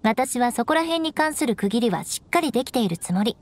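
A woman's voice: a little high, expressive, a little fast, no echo. An actress playing a proud, cool young noblewoman in an anime game, composed and instructive with a haughty edge.

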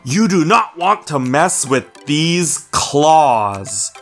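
A grumpy house cat from a children's storybook, acted out by a man, melodramatic and easily spooked. The delivery is very slow and drawn out, with big swings in pitch, over soft music. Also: heavily acted. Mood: panicked, defiant. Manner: deadpan grumbling with melodramatic outbursts. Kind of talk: children's storybook read-aloud.

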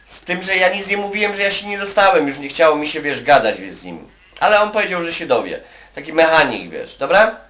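A man from Poland with a fairly high voice talks casually, as if on a phone call. He rambles colloquially, adding little "you know" tags as he goes. The sound is very echoey and he is some distance from the microphone. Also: big pitch swings, fairly quick, pushed and bright. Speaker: Polish migrant worker advising an acquaintance. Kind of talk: casual one-sided phone chat. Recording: echoey room, distant from the mic.

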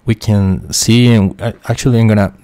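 A young man from Costa Rica with a Spanish accent, a QA engineer talking through a software tutorial with many fillers and restarts. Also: lowish voice, steady tone, normal speed, no room sound. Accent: Costa Rican Spanish. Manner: hesitant, many fillers and restarts, quietly talks to himself. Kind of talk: screen-share tutorial explanation.

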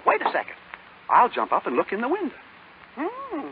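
Jittery, high-pitched little cricket, Jiminy. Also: male voice, huge pitch swings, normal speed, heavily acted. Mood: easygoing. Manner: folksy, flustered, stammering. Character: Jiminy, small jittery male cricket, loyal companion. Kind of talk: 1930s radio acting. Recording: scratchy, tinny 1939 radio recording.

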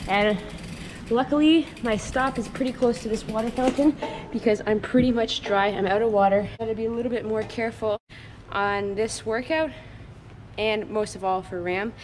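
A woman's voice: steady tone, moderate pace, a little mellow. A woman in her thirties talking casually and matter-of-factly to her camera as a cyclist vlogging her training, upbeat and chatty. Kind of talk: casual first-person vlog. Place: outdoors on a racetrack and roadside. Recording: noisy outdoor action-camera audio, vehicle-like rumble.

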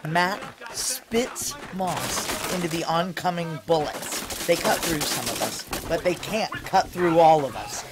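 A hardened woman soldier turned part alien, narrating a science fiction audio drama. She speaks slowly and deliberately, with awe and intensity. Loud, sizzling effects surround her voice. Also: lowish voice, animated, very noisy. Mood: growing power. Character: tough woman soldier merged with alien moss, awed and exultant.

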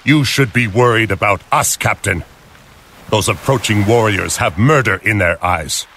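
An actor playing a brawny, loyal Chinese seaman, gruff and wry, bluntly delivered in a game cutscene. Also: on the low side, a little slow, slight background noise. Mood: wry, warning. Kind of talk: animated game cutscene acting. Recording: game audio with loud music behind.

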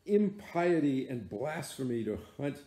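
A man's voice: medium pitch, very animated, unhurried, mellow, echoey room. A man voicing a devout, pleading first mate who speaks in earnest archaic phrases.

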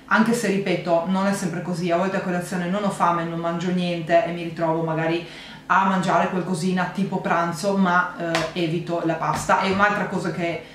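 Woman vlogger in a very echoey room. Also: fairly low pitch. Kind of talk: casual chat to camera. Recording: echoey room, faint noise.

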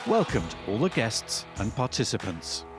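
A man's voice: medium pitch, sing-song, measured pace. A man reading scripted narration for a sports documentary. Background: background music.

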